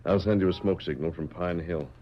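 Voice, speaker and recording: Casting: male actor as earnest western hero, very low voice, commanding formal delivery, muffled vintage radio sound. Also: fairly even intonation, a little fast, close to an everyday voice. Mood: resolute. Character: resolute masked cowboy hero, earnest and commanding. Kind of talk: 1940s radio western acting. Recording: muffled vintage radio recording, faint noise.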